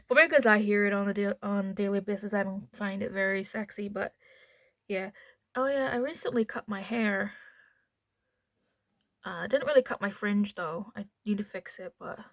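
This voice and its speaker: A woman's voice: medium pitch, normal speed, long pauses, no echo, clean studio sound. A woman with a mild Northern Irish accent chatting casually to camera at home, rambling into asides as a beauty vlogger. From Northern Ireland.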